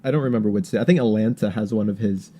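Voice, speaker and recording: A man hosting a podcast with casual political commentary, talking in a halting, rambling way with stammered repeats. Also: on the low side, warm tone, breathy edge. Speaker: podcast host.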